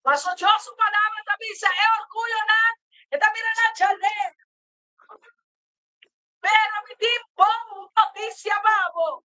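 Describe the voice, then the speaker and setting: Woman preacher yelling out prayers, super high piercing voice, slow-ish deliberate pace, repeats stuff a lot. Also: fairly even intonation, dry recording, rough recording. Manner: exhorting, repetitive chanted phrases, frequent interjected calls; loud, shouting delivery. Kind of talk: fervent revival sermon and prayer.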